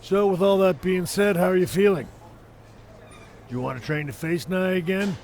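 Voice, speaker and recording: A man voicing a sympathetic wrestling mentor with a gravelly voice in a video game cutscene. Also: high voice, moderate pace, a little mellow. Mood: concerned.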